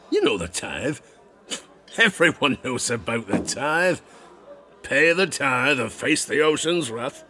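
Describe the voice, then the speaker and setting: An actor playing an old, garrulous sailor and former harpooner, telling tavern tales in rustic seafaring dialect for an audio drama. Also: male voice, medium pitch, vocal fry, sharp tone, exaggerated voice. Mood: wry, friendly. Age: older. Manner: rustic sailor dialect, chuckling, conspiratorial asides. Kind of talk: theatrical audio drama storytelling.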